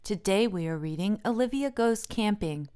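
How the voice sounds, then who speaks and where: Animated woman reading a children's storybook. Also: mid-range voice, normal speed, smooth. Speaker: children's book reader, host. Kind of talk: read-aloud storybook narration for children.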